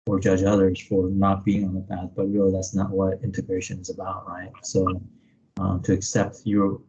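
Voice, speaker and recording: A man's voice: deep voice, warm tone. A man speaking reflectively in an interview over a video call, searching for his words and often checking in with "right?". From born in Vietnam, grew up in America from age five. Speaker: interview guest, spiritual practitioner. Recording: dull, lo-fi online call audio.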